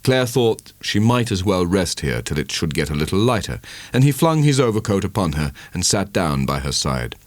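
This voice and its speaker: A man narrating a literary audiobook in a measured, dramatic storytelling manner. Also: sits low, very animated, dry recording.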